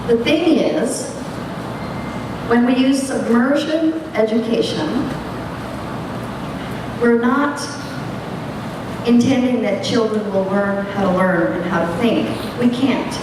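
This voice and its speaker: A woman's voice: expressive, slow pace, long pauses. A woman teacher educator giving an earnest conference lecture, speaking emphatically and putting questions straight to the audience. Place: large echoey lecture hall. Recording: echoey hall, distant mic, rumbling noise.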